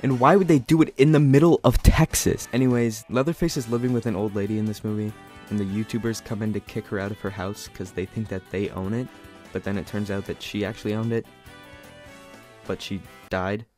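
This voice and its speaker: A man's voice: lowish voice, fairly even intonation, brisk, a little mellow, faint music behind. A man giving a scripted, sarcastic movie review, talking in an exasperated, critical way.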